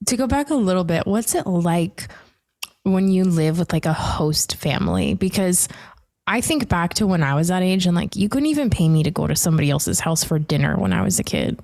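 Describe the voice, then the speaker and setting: Giggly woman host, 30s, wired on coffee, chatty, lowish voice. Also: gravelly, a little mellow, no echo, clean studio sound. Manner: bubbly, giggly, jittery from coffee. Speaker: podcast host. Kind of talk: casual interview chat. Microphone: headphones.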